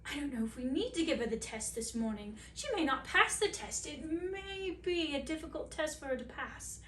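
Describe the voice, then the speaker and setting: Woman doing an excitable young prince. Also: high-pitched, moderate pace. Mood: excited. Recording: roomy, distant mic, faint hiss.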